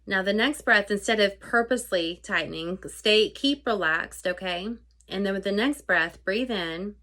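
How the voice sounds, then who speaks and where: A woman leading a guided meditation in an informal, conversational way, unscripted, with halting phrasing that trails off softly. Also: mid-range voice.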